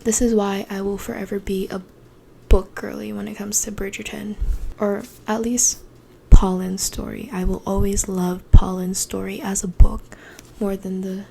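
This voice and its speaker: A young woman from the Philippines, whose first language is Cebuano, rambles through a chatty bookshelf tour late at night. She sounds tired, and her voice often drops to a hushed, breathy tone. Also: mid-range voice, little pitch movement, normal speed, slight background noise. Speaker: book vlogger.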